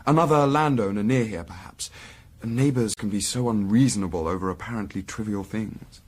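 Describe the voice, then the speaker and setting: An actor playing a shrewd Victorian gentleman investigator in a period radio drama, polite, dry and probing. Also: fairly low pitch, brisk, slightly rough.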